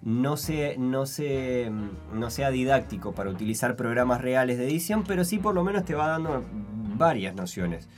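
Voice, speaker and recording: A man's voice: medium pitch, animated, normal speed, hardly any pauses, soft background music. A man, speaking in a casual chat, thinking aloud with many restarts. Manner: reflective, hesitant, many restarts.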